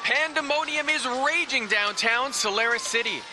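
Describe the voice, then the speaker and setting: A male actor playing an excited, urgent field reporter in a sci-fi video game news bulletin. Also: very high-pitched, normal speed, noisy background. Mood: urgent, alarmed.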